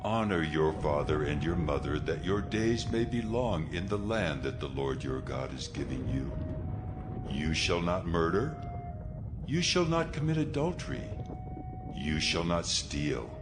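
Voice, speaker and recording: A man's voice: low voice, animated, a little slow. A man playing God as a stern, commanding deity, solemnly declaring laws in a dramatized scripture recording. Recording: music behind the voice.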